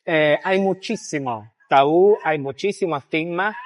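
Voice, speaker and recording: A man's voice: fairly high pitch, unhurried. An Argentine man giving explanatory interview answers as a psychiatrist, in a didactic way with emphatic repetition and rephrasing.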